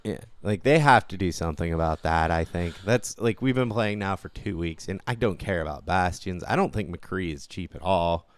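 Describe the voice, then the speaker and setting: A man's voice: deep voice, moderate pace, hardly any pauses. A man co-hosting a podcast in casual gaming chat, informal and opinionated, given to complaining. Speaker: podcast co-host.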